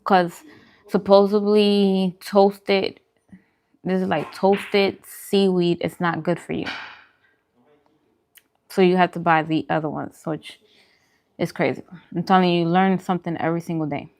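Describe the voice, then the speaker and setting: A woman's voice: fairly low pitch, steady tone, unhurried, long pauses, darkish tone. A woman chatting informally with her live-stream viewers, answering comments as she goes. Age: adult, parent. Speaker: food vlogger and live streamer.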